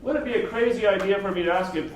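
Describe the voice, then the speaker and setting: A man's voice: high voice, rapid-fire. A man giving an informal seminar as a trainer, conversational and putting rhetorical questions to his audience. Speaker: seminar trainer teaching negotiation and NLP. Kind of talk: live seminar presentation, informal coaching. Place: live seminar room with an audience. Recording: roomy, distant mic, faint noise.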